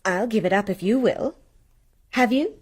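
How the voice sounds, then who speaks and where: An actress playing an inquisitive, anxious wife in a radio play ghost story, speaking in an earnest, probing way. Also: female voice, medium pitch, expressive, moderate pace, darkish tone, slightly rough. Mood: playful. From New York.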